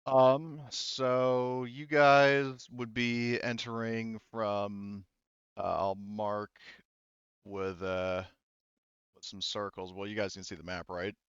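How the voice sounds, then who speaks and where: Low, smooth-voiced man running a tabletop game. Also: flat delivery, slow, no echo, highs cut off. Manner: casual, profane. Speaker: game master. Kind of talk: narrating a tabletop roleplaying game.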